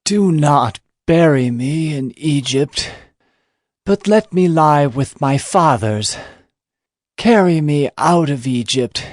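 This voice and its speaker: A man playing an aged, dying patriarch in a dramatized scripture reading, solemn and halting as he speaks to his sons. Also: a little high, animated, darkish tone, no echo, clean studio sound. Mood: solemn, pleading. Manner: slow, halting, solemn delivery.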